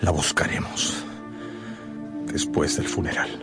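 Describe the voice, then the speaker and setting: An actor playing an earnest, solemn musketeer and devoted lover in a radio drama. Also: male voice, slow, fairly bright tone, faint music behind. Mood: somber resolve.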